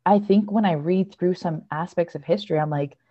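A woman with a low, mellow voice asks questions and reflects in a casual, thoughtful way. She affirms her guest as she goes. The recording is dull and lo-fi. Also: a little fast. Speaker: interviewer. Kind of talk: conversational interview. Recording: dull lo-fi sound.

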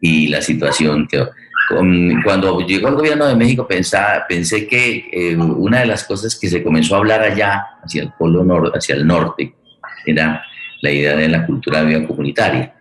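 A man with a Colombian accent talking conversationally about his views as a forum host, halting and repeating himself as he searches for words. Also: low voice, fairly bright tone, a little hiss or hum. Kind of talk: conversational political and cultural discussion. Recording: echoey room sound.

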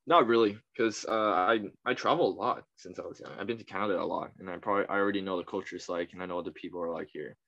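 A young man from Taiwan, a podcast guest chatting casually, laid-back, laughing often and trailing off quietly. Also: deep voice, rapid-fire, vocal fry, hardly any pauses, muffled recording. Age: young adult. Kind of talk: casual chat.

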